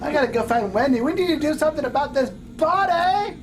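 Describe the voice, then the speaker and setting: A woman's voice: sits high, expressive, a little fast. A woman playing a panicky, foul-mouthed amateur ghost hunter in a comedy puppet show, exclaiming and scolding dramatically. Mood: anxious, irritated. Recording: music playing loudly behind the voice.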